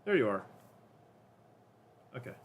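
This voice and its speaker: A man with a deep voice casually rambling to himself while streaming a game. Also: takes it slowly, phone-quality sound, a little hiss or hum. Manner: rambling, self-talking, counts under breath. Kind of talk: casual gameplay commentary.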